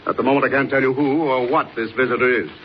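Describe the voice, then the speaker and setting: A man's voice: mid-range voice, steady tone, quick pace, close to an everyday voice. A man playing a stern, authoritative company president with formal delivery in a 1940s radio drama. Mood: stern, commanding. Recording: muffled, slightly noisy 1940s radio recording.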